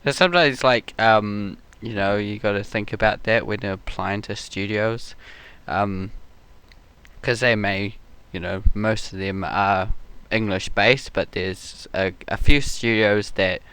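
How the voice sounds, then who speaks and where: Slow, smooth-voiced Australian man. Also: on the low side, fairly even intonation, a bit bright. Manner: laid-back, with many fillers. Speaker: host. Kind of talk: casual interview chat.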